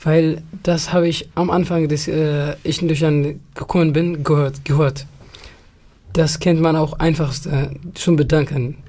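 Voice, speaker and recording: A man whose first language is Tigrinya answers in careful, measured phrasing. His voice sits a little high and stays flat, with little pitch movement. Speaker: interview guest. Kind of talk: casual interview answers.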